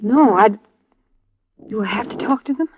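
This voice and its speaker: A woman acting as a grieving, anxious middle-aged widow in a 1950s American radio drama, speaking in a halting, strained way. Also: on the high side, animated, close to an everyday voice. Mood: anxious, fragile. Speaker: actress in a radio detective series. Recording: dull, faintly noisy vintage radio recording.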